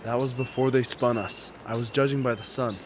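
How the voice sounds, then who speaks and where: A man's voice: mid-range voice, fairly even intonation, brisk, natural voice. A man playing an intense, haunted young fighter in an amateur radio drama, urgent in delivery. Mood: businesslike. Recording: noisy, outdoor bird ambience.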